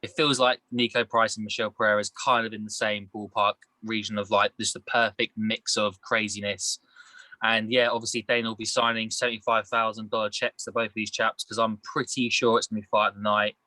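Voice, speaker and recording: Bright-voiced man, rambling casual sports chat. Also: lowish voice, flat delivery, a little fast, no echo, poor audio. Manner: rambling, colloquial, matey. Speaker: podcast panellist.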